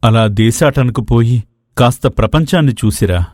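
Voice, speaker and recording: A man voicing a patient, fatherly maharaja with measured, formal speech in a dramatized moral story. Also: mid-range voice, a little mellow, dry recording, studio quality, close to an everyday voice. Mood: fond, encouraging.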